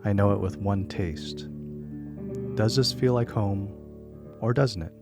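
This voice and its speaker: A man's voice: lowish voice, lively intonation, a little slow. A young man from the United States, whose first language was Korean, narrating his personal film in a reflective, candid, wry voice-over. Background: guitar music.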